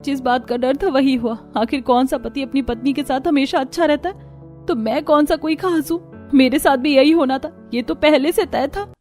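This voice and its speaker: A woman's voice: high voice, moderate pace, darkish tone, soft background music, natural voice. A woman voicing a timid young bride who speaks meekly and anxiously. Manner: meek, hesitant.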